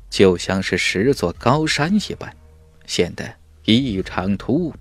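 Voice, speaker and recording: A man narrates a fantasy novel dramatically. His voice sits low and moves widely in pitch, recorded dry over faint music. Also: no room sound. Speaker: audiobook narrator.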